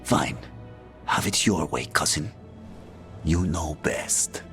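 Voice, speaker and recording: An actor playing a prideful, smooth-talking assassin in a video game, theatrical and needling. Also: male voice, rumbling low, slow pace, fairly bright tone, faint music behind, full character voice. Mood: wounded, bitter.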